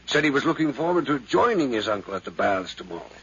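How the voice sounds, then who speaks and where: An actor playing a polite, earnest, older neighbor with a husky voice in a 1950s radio drama. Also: male voice, mid-range voice, big pitch swings, fast, a bit bright, a little hiss or hum. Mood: earnest, concerned. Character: proper, concerned older male neighbor reporting suspicions. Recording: muffled 1950s radio recording.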